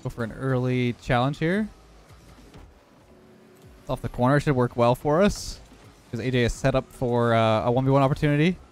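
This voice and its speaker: A young man who has lost some of his voice, hoarse, giving relaxed running commentary over his own gameplay. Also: medium pitch, normal speed, long pauses, faint music behind. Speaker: gaming YouTuber.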